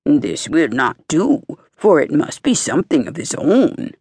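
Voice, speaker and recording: A woman's voice: low voice, big pitch swings, measured pace, a little mellow, breathy edge, dry recording, exaggerated voice. A woman voicing a kindly rich merchant in a storybook, paternal and authoritative. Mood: firm. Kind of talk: storybook character voice.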